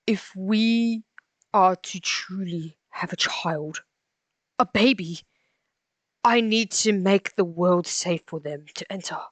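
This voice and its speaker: A woman's voice: medium pitch, slow pace, no echo. A woman playing a tender, resolute male monster in an earnest, solemn amateur audio romance drama. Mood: apologetic, resolute.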